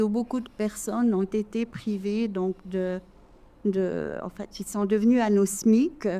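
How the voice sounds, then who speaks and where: Woman, mellow relaxed voice, mid pitch, audience member speaking off the cuff, hesitant with repeated words. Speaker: audience member, volunteer reader for audio library. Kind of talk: impromptu audience remarks at a literary event. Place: live literary café event.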